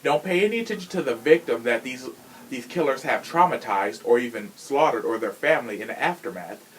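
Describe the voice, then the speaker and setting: A man hosts a chatty, informal podcast with plenty of sass. His pitch moves around freely and animatedly, and his delivery is theatrical and conversational. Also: animated, fairly quick, a bit bright, slight background noise, low-quality recording. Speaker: podcast host. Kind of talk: casual chatty podcast talk.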